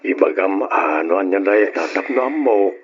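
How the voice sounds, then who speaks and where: A man playing a worried, gently consoling husband and father in an old-time Ilocano radio drama, earnest and heavy-hearted. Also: low-pitched, animated, normal speed, husky. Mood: concerned consoling. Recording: muffled old radio recording.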